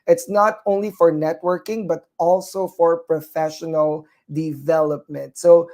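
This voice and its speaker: A man's voice: on the high side, steady tone, a little slow, darkish tone. A man with a Filipino accent, a nurse practitioner co-hosting a talk show, giving earnest, encouraging advice with many fillers and restarts. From Philippines.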